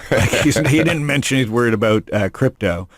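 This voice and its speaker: A man's voice: mid-range voice, animated, normal speed, no room sound, clean studio sound. A man hosting a podcast in casual chat, rambling and joking, often restarting his sentences. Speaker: podcast host. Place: studio room.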